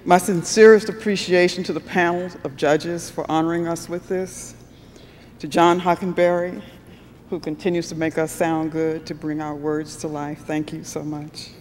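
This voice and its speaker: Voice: mid-range voice, flat delivery, normal speed, a little mellow. An award winner giving an acceptance speech as a radio producer. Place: large hall at an awards ceremony. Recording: echoey hall with background noise.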